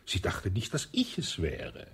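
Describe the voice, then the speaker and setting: An actor playing a sly colonel under police questioning in a radio play, with a husky voice, evasive and dryly sarcastic, often dropping to a whisper. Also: male voice, low voice, huge pitch swings, unhurried, fairly bright tone, exaggerated voice. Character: sly elderly male colonel, suspected wife-killer.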